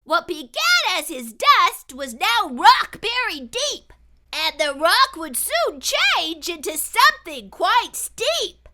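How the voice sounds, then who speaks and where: A woman voicing an excitable young boy who spins a tall tale in dramatic, sing-song rhyme for a storybook read-aloud. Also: very high voice, slow, voice pushed up, exaggerated voice. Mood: excited, insistent.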